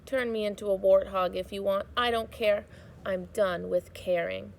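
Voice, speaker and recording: Narrator doing a despairing male toy rabbit in a storybook, quiet and flat, slight gravel. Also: mid-range voice, normal speed, natural voice, poor audio. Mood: resigned. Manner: flat, hopeless, resigned. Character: weary, bitter male china toy rabbit.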